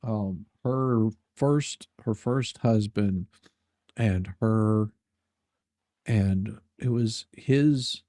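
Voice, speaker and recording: Man, lowish pitch, dark mellow tone, dry sound, casual anecdotes, halting, repetitive, rambling delivery. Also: no echo. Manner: halting, rambling storyteller who repeats words. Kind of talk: casual chat, telling personal anecdotes.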